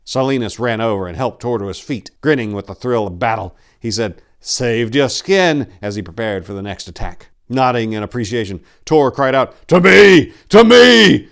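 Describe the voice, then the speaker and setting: A man narrating in the style of a dramatic story reading, with a theatrical, measured delivery. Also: lowish voice.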